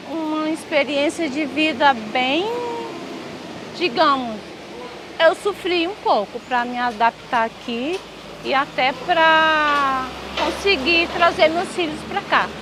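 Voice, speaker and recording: A woman from Northeast Brazil speaks slowly in a high voice. Her testimony is earnest and reflective. Faint background noise runs under her speech. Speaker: interviewed resident and mother. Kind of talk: documentary interview.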